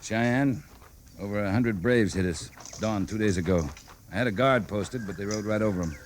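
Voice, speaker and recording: An actor playing a calm, authoritative American cavalry captain in an old-time radio western, speaking in measured, terse soldierly lines. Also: male voice, on the low side, natural voice. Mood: grim, measured. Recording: noisy old-time radio drama recording.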